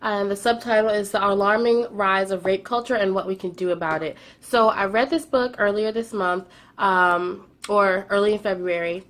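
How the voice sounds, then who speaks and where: A woman's voice: mid-range voice, fairly even intonation, normal speed, a bit bright. A young woman chatting through her monthly book wrap-up, a bit congested from a cold, with frequent "um"s and words doubled for emphasis. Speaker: book vlogger. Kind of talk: casual monthly reading wrap-up.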